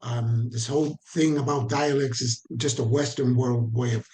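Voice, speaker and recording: This man speaks with a Caribbean Spanish accent, and he sits in the middle of the usual pitch range for men. He leads a training session over a video call with a loose, conversational delivery. He puts questions to his audience and repeats his points for emphasis. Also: steady tone, moderate pace, warm tone. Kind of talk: online training talk.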